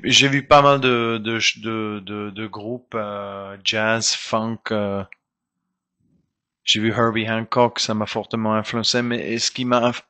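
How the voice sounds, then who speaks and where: Slow, bright-voiced man. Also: on the low side. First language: German. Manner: hesitant, searching for words with many restarts. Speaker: guest musician. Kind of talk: casual interview talk.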